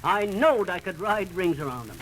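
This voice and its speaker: A man's voice: high voice, expressive, normal speed, fairly bright tone. An actor playing a boastful rustic man with a rural American accent, telling tall tales in an old-time radio comedy. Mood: earnest boasting. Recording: noisy vintage radio recording.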